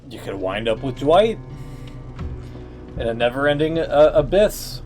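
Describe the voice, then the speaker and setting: A man's voice: medium pitch, big pitch swings, a little slow, a little mellow. A man giving casual live-stream commentary on a game in a blunt, rambling way. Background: faint music.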